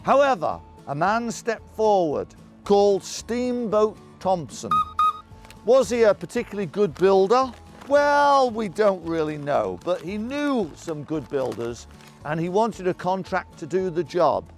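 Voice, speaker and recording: A middle-aged man telling local history as a town crier, in a jovial, hammy, exclamatory manner full of rhetorical questions and dramatic pauses. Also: high voice, very animated, faint music behind. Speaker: town crier storyteller and guide. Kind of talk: theatrical historical storytelling to camera.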